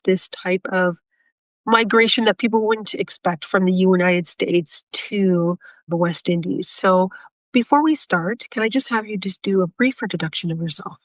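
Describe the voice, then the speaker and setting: A woman's voice: mid-range voice, dry recording, low-quality recording. A woman hosting a history podcast, asking questions with supportive, somewhat hesitant phrasing. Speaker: podcast host. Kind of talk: interview questions.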